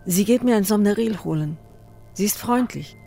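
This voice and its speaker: A woman's voice: medium pitch, lively intonation, normal speed, gravelly, dark tone, soft background music. An actress in a German radio drama playing a bereaved young mother, insistent and bitter, speaking in terse, intense phrases. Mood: numb, aching. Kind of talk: German radio drama, interior monologue and dialogue.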